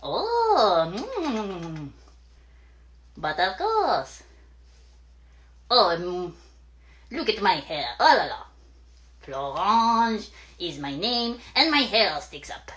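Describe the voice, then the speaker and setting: A woman's voice: mid-range voice, very animated, very slow, long pauses, fairly bright tone, heavily acted. A woman voicing a vain, theatrical furry male creature with a French accent in a children's puppet show, preening and drawing out words.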